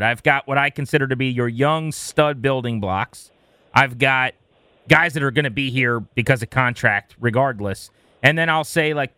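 A man's voice: mid-range voice, pushed and bright, clean tone, no room sound, studio quality. A man hosting sports talk radio, posing questions and laying out his analysis.

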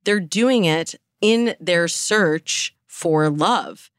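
A woman's voice: fairly low pitch, very slow, no room sound, studio quality. An American woman speaking as a dating coach in a conversational, explanatory and emphatic way. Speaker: dating coach, podcast guest. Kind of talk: conversational expert advice.

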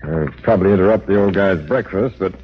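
A man playing a businesslike homicide captain in a forties radio crime play. His voice is low and commanding as he probes and gives orders. The sound is dull and old. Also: quick pace, natural voice. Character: brisk, authoritative homicide police captain questioning people. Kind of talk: 1940s radio acting.

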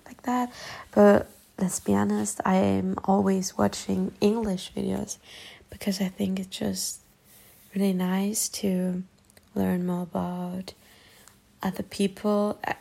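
Soft-spoken German-accented woman, gravelly. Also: mid-range voice. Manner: soft, musing, partly whispered. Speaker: YouTuber. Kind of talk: late-night personal vlog. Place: lying in bed at night.

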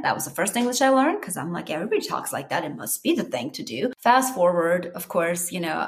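A middle-aged woman from Armenia, whose first language is Armenian, hosting her own podcast in a chatty, animated solo talk addressed to her listeners. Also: fairly low pitch. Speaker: podcast host.

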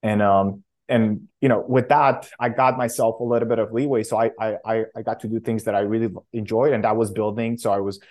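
Halting man, fairly low voice. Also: steady tone, a little mellow. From Romania. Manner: halting, frequent self-restarts and fillers. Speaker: startup founder guest. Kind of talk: casual interview answers.

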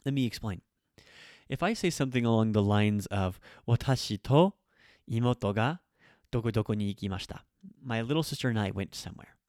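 Male language teacher, low register, relaxed chatty delivery, dry recording. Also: fairly quick, no room sound. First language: English. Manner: chatty, explains with examples, self-correcting. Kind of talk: casual grammar lesson.

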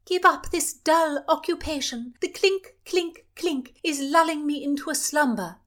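A woman voicing a haughty, commanding young princess in a storybook reading, high and loud. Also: measured pace. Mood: imperious. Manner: commanding, theatrical.

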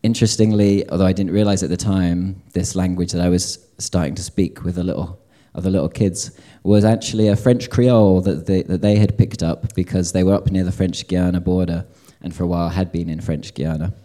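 A man's voice: deep voice, fairly even intonation, a little fast, few pauses, warm tone. A man on a discussion panel, speaking hesitantly with many fillers and wry asides. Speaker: publisher on a panel. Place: lecture event before an audience.

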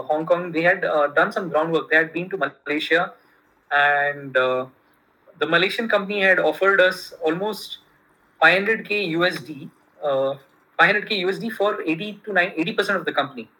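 A man's voice: on the high side, bright, forceful tone, poor audio. A man from India whose first language is Kannada, telling a business story in casual conversation with many fillers and restarts.

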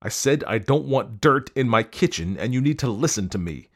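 Audiobook husband, played by a male narrator: domineering, irritable germophobe, emphatic syllables, swinging pitch. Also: expressive, a little fast. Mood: bossy, agitated. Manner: shouting, hitting syllables hard. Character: angry, germ-phobic, domineering husband.

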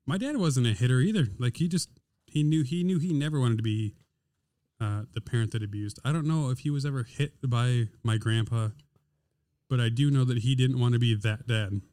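A man with a naturally deep, smooth voice chats casually on a podcast, drifting through stories with plenty of fillers. The studio mic sounds close and dry, with no room around it. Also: a little fast, dark tone, no echo. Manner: easygoing, rambling with fillers. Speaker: podcast co-host. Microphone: podcast studio microphone.

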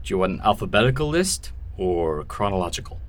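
An actor playing a jaded, wry mercenary haunted by lost love, speaking in a sardonic, conversational audio drama style. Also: male voice, low-pitched, very animated, a little fast. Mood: dry, flippant. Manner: sardonic, storytelling about himself in third person. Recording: music behind the voice.

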